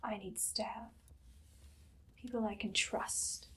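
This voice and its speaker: A woman voicing an imperious, menacing evil queen in a whispered ASMR roleplay, speaking softly and deliberately with a condescending, commanding air. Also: a little high, fairly even intonation. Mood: smug, scheming. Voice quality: breathy, soft, much of it whispered.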